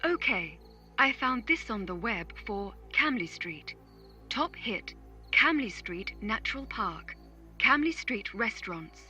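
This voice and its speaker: A woman voicing a polite, unflappable phone voice assistant in an audio drama, measured and impersonal, through a small device speaker. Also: on the high side, sing-song, sharp tone, faint music behind, full character voice. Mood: helpful. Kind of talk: audio drama acting, scripted read-out. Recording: dull lo-fi device-speaker sound.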